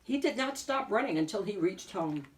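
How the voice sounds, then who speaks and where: A woman reading a picture book aloud as an expressive narrator, phrasing deliberately with emphatic swells. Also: mid-range voice, distant mic. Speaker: picture book reader and host.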